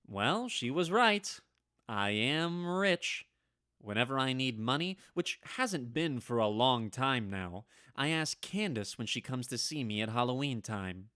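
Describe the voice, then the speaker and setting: Radio play lead, male actor: ageless wry storyteller, easily flustered, bright mid-pitched voice with lively swings. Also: measured pace, clear, resonant tone, no echo, clean studio sound. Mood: wry, reflective. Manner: expressive, dramatic, wry storytelling. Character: centuries-old Salem man, wry storyteller, easily flustered. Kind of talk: vintage radio drama narration and acting.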